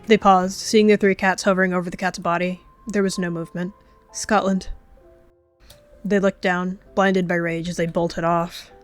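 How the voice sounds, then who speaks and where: A woman's voice: fairly low pitch, flat delivery, moderate pace, faint music behind. A woman narrating as a storyteller, reading scripted fiction in a dramatic style. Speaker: storyteller and roleplayer.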